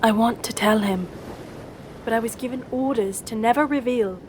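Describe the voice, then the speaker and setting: A woman acting an earnest, conflicted young Jedi in an audio drama, questioning, with clear noise behind her. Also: moderate pace, a little mellow, lightly acted. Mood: troubled. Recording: noisy background with rumble.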